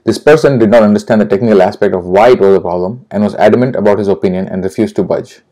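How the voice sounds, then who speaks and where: Male podcast host, lower pitch, plain reading of prepared questions. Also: racing, mellow.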